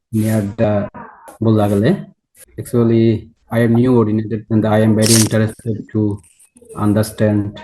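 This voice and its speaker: A man's voice: lowish voice, warm tone, poor audio. A man from Bangladesh, a newly ordained monk, introducing himself in simple, careful English. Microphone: video call.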